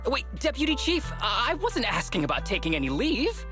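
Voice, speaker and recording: A woman's voice: on the high side, wildly swooping pitch, brisk, fairly bright tone, soft background music. A woman playing an easygoing, teasing section member in a video game, conversational and questioning. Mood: quizzical.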